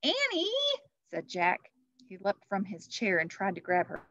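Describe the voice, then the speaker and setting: A woman in her thirties reading a children's story aloud like a teacher, with expressive narration. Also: fairly low pitch, normal speed. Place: classroom, indoors. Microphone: computer webcam at desk distance. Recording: muffled webcam audio.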